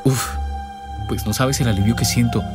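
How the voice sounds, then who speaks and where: An actor playing a worried, tender teenage boyfriend in a radio drama. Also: male voice, medium pitch, moderate pace, natural voice. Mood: relieved, unsure. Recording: music behind the voice.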